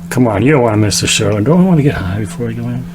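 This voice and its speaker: A man plays an impatient puppet buddy with a gravelly, creaky voice at medium pitch. He is blunt and nagging, with noisy ambience around him. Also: animated, racing, close to an everyday voice. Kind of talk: scripted puppet film dialogue.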